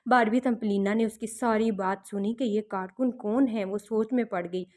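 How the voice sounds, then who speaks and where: A woman's voice: mid-range voice, steady tone, moderate pace, darkish tone. A woman narrating a fairy tale for children, explaining things simply and repeating them as to small children. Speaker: children's storyteller.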